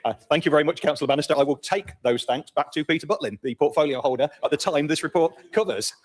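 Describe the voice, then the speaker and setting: A man's voice: on the high side, quick pace. A man speaking as a councillor in a formal meeting, courteous but pointed. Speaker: opposition councillor. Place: council chamber.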